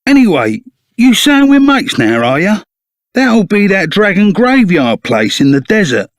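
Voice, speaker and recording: An actor playing a rough, loyal, excitable henchman in a video game, with a gravelly voice, a Cockney accent and blunt, chummy, slangy talk. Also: high-pitched, a little slow, no room sound, studio quality. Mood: blunt, curious. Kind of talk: video game character voice acting.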